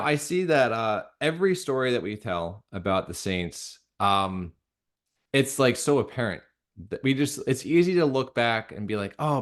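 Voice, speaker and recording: A man speaking earnestly and haltingly in a conversational interview, repeating phrases for emphasis. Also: on the low side. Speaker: interview guest, radio drama creator.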